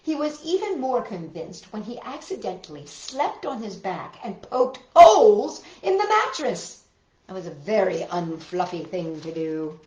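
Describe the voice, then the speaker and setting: A woman hosting a children's storytime, reading picture books aloud with expressive, exaggerated delivery. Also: medium pitch, unhurried. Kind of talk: animated picture-book read-aloud and sing-along for young children. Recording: roomy echo with guitar music.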